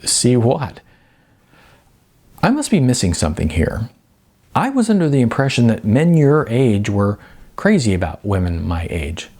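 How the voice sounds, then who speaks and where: A man's voice: medium pitch, huge pitch swings, a little slow, long pauses, darkish tone, exaggerated voice. A man voicing an earnest, smitten young woman who speaks frankly and coaxingly in an audiobook. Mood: teasing.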